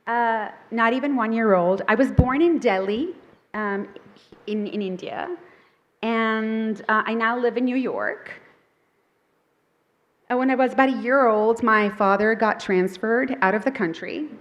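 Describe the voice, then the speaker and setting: A woman who is a picture book author gives a conversational talk to a live audience, upbeat and chatty, with many fillers and self-corrections; her first language is Thai. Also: mid-range voice.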